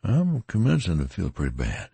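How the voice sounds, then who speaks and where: Man doing a creaky, drawling wounded soldier. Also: low voice, huge pitch swings, normal speed, warm tone, dry recording, voice pushed up, full character voice. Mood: rambling. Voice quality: creaky, husky. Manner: rustic drawl, dreamy and rambling. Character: weary wounded male soldier, folksy rambling. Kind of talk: audiobook character reading.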